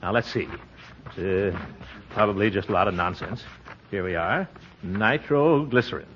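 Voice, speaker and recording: An actor playing a pompous, flustered small-town uncle and official in a 1940s radio comedy, blustering and exclaiming with theatrical hems. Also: male voice, fairly low pitch, moderate pace, natural voice. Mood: flustered, uneasy. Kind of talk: 1940s radio sitcom acting. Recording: muffled, noisy 1940s radio recording.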